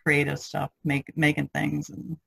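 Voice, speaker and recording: A middle-aged woman with a lot of fry and husk in her voice. She chats informally among friends in an easy, anecdotal way. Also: low voice, completely flat delivery, a little slow, a bit bright. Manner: laughs readily. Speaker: artist on a podcast panel. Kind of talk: casual chat, personal anecdotes. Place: online video conference call.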